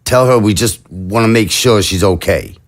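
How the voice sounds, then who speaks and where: An actor playing a president who gives brisk, commanding, understated orders. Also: male voice, fairly low pitch, slight background noise, close to an everyday voice. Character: steady, decisive male president.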